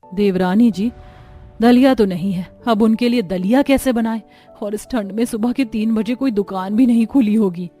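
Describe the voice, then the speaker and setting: Woman acting a young housewife, high-pitched, plaintive, overworked, complaining, cartoon family tale. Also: moderate pace, mellow, soft background music, natural voice. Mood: worried. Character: overworked young daughters-in-law, weary and complaining.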